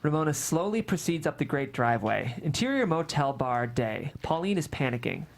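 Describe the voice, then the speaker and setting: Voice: mid-range voice, sing-song, moderate pace. A narrator reading scripted screenplay stage directions aloud at a table read.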